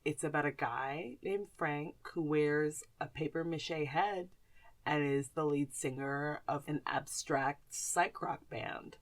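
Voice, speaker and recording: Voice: medium pitch, slow pace. A woman podcast host chatting casually and informally about films and actors, with some slang.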